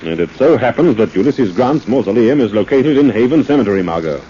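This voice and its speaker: A man playing a composed, thoughtful gentleman sleuth in a 1940s radio drama. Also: mid-range voice, expressive, fairly quick, lightly acted. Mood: deliberate. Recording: muffled, hissy old radio recording.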